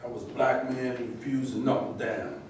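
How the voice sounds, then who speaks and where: A man's voice: medium pitch, level tone, normal speed, close to an everyday voice. A man acting a proud, defiant retired boxer in an emphatic stage monologue at a staged reading. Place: live staged reading in a roomy space. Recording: very echoey room, distant mic, faint noise.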